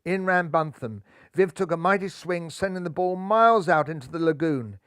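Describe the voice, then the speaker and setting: An older man reading a children's picture book aloud, lively, lifting his voice for the characters. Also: moderate pace, clear, resonant tone, no room sound, studio quality. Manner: lively, raises pitch and volume for characters' lines. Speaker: storybook reader. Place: living room, seated in armchair.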